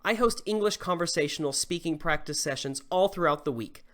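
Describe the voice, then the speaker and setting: A man in his thirties from the southern US with a slight Southern accent, teaching English clearly and deliberately to camera from a small home studio. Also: a little high, fairly bright tone. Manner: clear, deliberate teaching delivery with modeled examples. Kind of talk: scripted lesson to camera.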